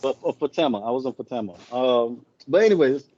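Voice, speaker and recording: A man with a mellow, warm voice retells a story in a loose, rambling way, stopping often to correct himself. English is not his first language. The sound is dry and a little muffled. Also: mid-range voice, expressive, brisk, dry recording. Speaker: co-host. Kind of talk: casual podcast chat.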